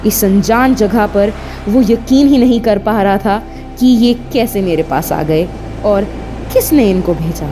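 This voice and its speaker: A woman narrates a dramatic audio story at an unhurried pace. Her pitch is medium and she pauses for emphasis, with music playing clearly behind her. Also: warm tone.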